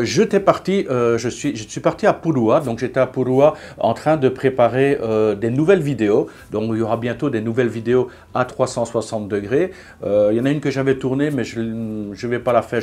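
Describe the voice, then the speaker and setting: Vlogger in his fifties, chatty and halting, mid-pitched voice. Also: a little mellow. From Belgium. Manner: chatty, hesitant with frequent fillers and restarts. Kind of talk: casual vlog monologue.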